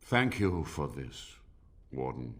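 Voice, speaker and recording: An actor playing an aged male mage, weary and stubborn, speaking gravely. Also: extremely low pitch, big pitch swings, slow pace, exaggerated voice. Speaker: game character. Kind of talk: game voice acting.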